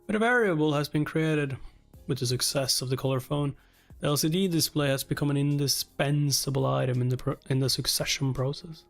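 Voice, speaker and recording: A man with a fairly high voice does a casual live read-along for viewers. He talks informally and swears offhandedly. Soft music plays behind him. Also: fairly quick, creaky. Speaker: livestreamer reading a webtoon aloud and reacting. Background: background music.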